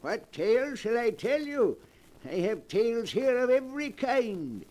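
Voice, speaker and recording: An actor playing an eerie keeper of a book of dark tales, narrating with ominous, melodramatic relish in 1940s radio horror style. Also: male voice, very high voice, big pitch swings, measured pace, heavily acted.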